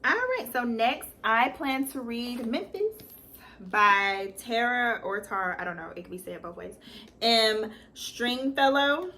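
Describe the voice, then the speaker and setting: A woman's voice: a little high, lively intonation, a little slow, pushed and bright, a little hiss or hum. A woman co-hosting a casual book chat in a playful, teasing manner. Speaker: co-host of a book channel.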